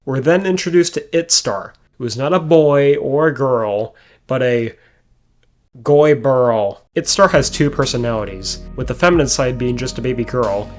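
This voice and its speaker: Hoarse man recapping an old show, wry. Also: mid-range voice, normal speed, soft background music. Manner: dry, wry asides. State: hoarse after a concert. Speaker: review host. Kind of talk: sarcastic episode recap.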